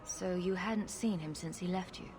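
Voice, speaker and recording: An actress playing a confident, sarcastic heroine in a video game, speaking with dry, sardonic wit. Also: female voice, fairly low pitch, normal speed, big-room sound, over music, lightly acted. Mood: dry, probing.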